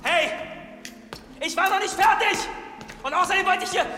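A man's voice: very high-pitched, normal speed, pushed and bright, slight background noise. A man acting in a radio drama as a defensive, frustrated, idealistic narrator who insists on his point and bickers naturalistically. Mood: exasperated rant. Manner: insistent, defensive, prone to exasperated outbursts. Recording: echoey hall, music behind the voice.

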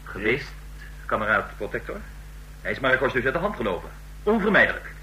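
Needling state official, radio play: quick, curt, sharp-toned, mid pitch. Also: male voice, very animated. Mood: scornful. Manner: curt, insinuating. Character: needling, scornful senior intelligence official. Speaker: radio drama actor. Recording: noisy, humming old radio recording.

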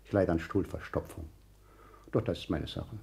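A fussy, dark-voiced scholarly gentleman. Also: low-pitched, normal speed, creaky. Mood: prim, demanding. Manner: clipped, pedantic, demanding. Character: fussy, prim, suspicious scientific gentleman seeking a room. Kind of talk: 1960s German radio play acting.

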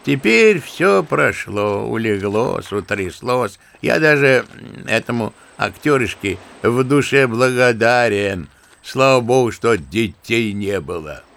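A man's voice: medium pitch, animated, takes it slowly, creaky, fairly bright tone, full character voice. An actor playing an embittered, talkative old grandfather in an audio drama of a literary story, telling things theatrically and savouring his words. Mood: bitter, philosophical. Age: older.

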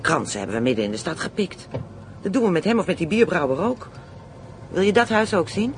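A boastful, playful crook in a radio play. The voice is on the high side, slangy and excitable. Clear vehicle noise rumbles underneath. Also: male voice, brisk. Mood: excited, greedy. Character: brash, greedy, playful Amsterdam criminal plotting kidnappings.

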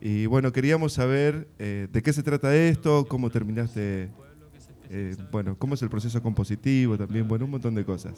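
Male radio interviewer, Argentine accent, relaxed and mellow, medium-pitched voice. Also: fairly quick, soft background music. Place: radio station session with a present audience.

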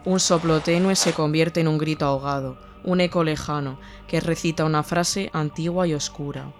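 A male narrator reads a scripted horror tale in a measured, atmospheric manner. His voice is somewhat high for a man, and faint music runs behind it. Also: fairly even intonation.

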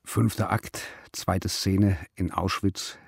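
A man with a deep voice reads stage directions as scripted narration. The recording is close and dry. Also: little pitch movement, takes it slowly, vocal fry, breathy edge, no echo.

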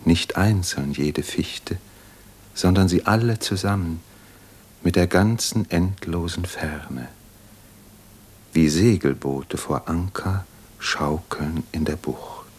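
An actor playing a solitary poet, gravely reciting verse in a radio play with a husky, breathy, measured delivery. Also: male voice, deep voice, darkish tone, exaggerated voice. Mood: solemn, melancholy. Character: solitary, anguished male poet reciting his own verses. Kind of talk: solemn poetry recitation in a German radio play.

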